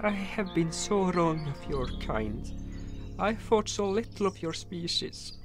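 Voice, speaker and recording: Guy acting as earnest plain-spoken hunt companion, fantasy drama, takes his time, music clearly behind him. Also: high voice, expressive, slow pace. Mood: remorseful.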